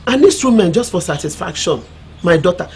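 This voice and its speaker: A woman's voice: fairly low pitch, expressive, fairly quick, darkish tone, breathy edge, heavily acted. An actress playing a middle-aged village mother with a Nigerian Igbo accent, giving frank, admonishing counsel in a film drama. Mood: knowing. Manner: proverbial, admonishing, emphatic. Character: worldly-wise village mother counselling her daughter, frank and knowing.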